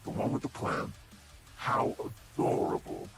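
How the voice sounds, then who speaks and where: Man voicing a slow, whispery, sinister monster villain. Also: natural voice. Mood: condescending. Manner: slow, deliberate, mocking, theatrical. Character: sinister taunting male monster villain, menacing, patient and mocking. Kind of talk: scripted villain voice reel, game character monologue. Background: prominent music.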